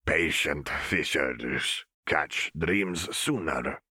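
A man voicing a huge, calm male fish-folk cook who speaks in halting, broken phrases with a rumbling voice. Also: dry recording, clean studio sound, exaggerated voice. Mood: calm, cryptic. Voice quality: rumbling, gravelly.

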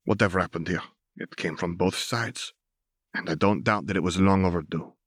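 A firm, unyielding woman , read by a male narrator, speaks in a measured low voice in an audiobook. The recording is close and dry. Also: no echo. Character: firm, resolute woman defending her companions.

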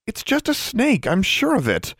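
A man's voice: mid-range voice, very animated, a little fast, dry recording, clean studio sound, pitched above the performer's own voice. A man voicing a stubborn young schoolgirl witch in an audiobook, insistent, pleading and defiant. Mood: stubborn, alarmed.

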